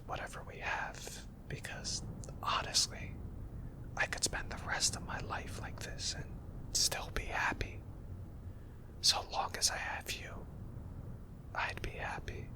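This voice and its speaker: Man, ASMR roleplay, soft hesitant whisper, unhurried. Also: rough recording. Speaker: ASMR boyfriend roleplay narrator.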